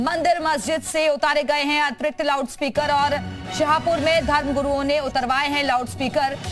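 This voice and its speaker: A woman anchors live TV news in a high voice. She is emphatic and restates headlines, with faint music behind her.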